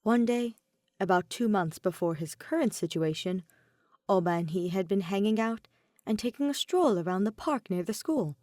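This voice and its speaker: Animated woman narrator, dry sound. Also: mid-range voice, normal speed, dry recording. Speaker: podfic narrator. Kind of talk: scripted fan fiction narration.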